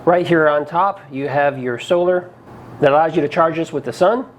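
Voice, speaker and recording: Higher-pitched man reviewing gear, noisy recording. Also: normal speed. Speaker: product review host. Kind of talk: casual product review to camera. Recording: clearly noisy background ambience.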